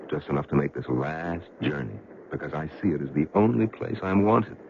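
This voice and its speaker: A muffled, noisy radio drama recording carries a very low-voiced middle-aged widower, a businessman gone bitter. His delivery is earnest and hesitant, swinging widely in pitch as he presses his point. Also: animated, fairly quick, gravelly, full character voice. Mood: grieving, confessional. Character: bitter, well-to-do widowed businessman, older, plain-spoken and earnest.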